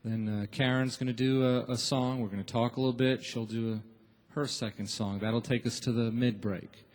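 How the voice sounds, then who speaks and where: A man hosting a radio show taping, giving informal, encouraging warm-up announcements from a theater stage. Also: mid-range voice, fairly even intonation, normal speed. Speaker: radio show host and emcee. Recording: echoey theater hall with long reverb.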